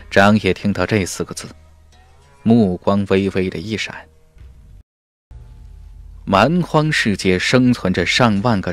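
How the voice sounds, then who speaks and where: Slow, swooping male audiobook narrator. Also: fairly low pitch, dry recording. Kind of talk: scripted dramatic fantasy narration.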